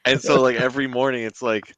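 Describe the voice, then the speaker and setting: A man's voice: medium pitch, flat delivery, fast talker, fairly bright tone, no room sound. A man chatting casually as a guest in a group talk, informal and easygoing. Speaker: podcast guest, comic creator running a crowdfunding campaign.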